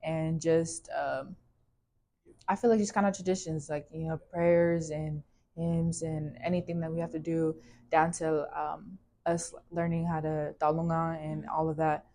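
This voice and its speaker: Young woman, low and level, laid-back. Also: unhurried. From California, USA. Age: young adult. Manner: relaxed, with many fillers like "like" and "you know". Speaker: interviewee. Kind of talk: casual interview, personal story.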